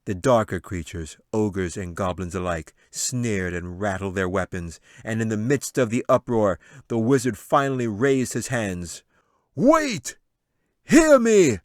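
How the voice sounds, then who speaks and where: A man's voice: lowish voice, unhurried, hardly any pauses, dry recording, studio quality. A man narrating a fantasy audiobook in a dramatic, scripted storytelling manner. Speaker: author reading his audiobook.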